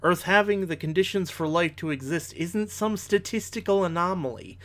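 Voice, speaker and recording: A man with a somewhat high-pitched voice presents a scripted rebuttal as a commentator. His wording is formal and analytical, and he is blunt in his criticism. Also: normal speed, a bit bright. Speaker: commentator, video host.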